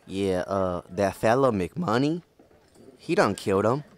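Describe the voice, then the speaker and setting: A man's voice: fairly low pitch, big pitch swings, a little slow, a little hiss or hum. An actor playing a simple, hesitant townsman in an audio play, with a Southern US accent. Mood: plain, wary. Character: simple, folksy male townsman bringing the deputy bad news.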